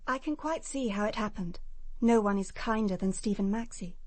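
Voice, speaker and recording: An English actress playing a prim, self-assured nurse giving precise testimony in a British radio crime drama. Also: female voice, medium pitch, moderate pace, dry recording, close to an everyday voice. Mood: composed, defensive.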